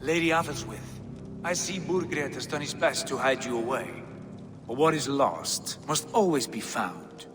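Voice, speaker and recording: An actor playing a coolly menacing Viking lord in a video game, speaking in a theatrical, deliberate, sardonic way. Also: male voice, medium pitch, expressive, background noise, close to an everyday voice. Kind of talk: dramatic video game acting.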